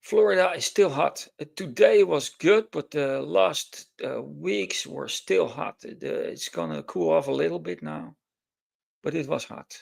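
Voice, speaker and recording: Gravelly Dutch-accented man chatting. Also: fairly high pitch, expressive. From Netherlands. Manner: halting, many "uh" fillers and restarts. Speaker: co-host panelist. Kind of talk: casual livestream chat.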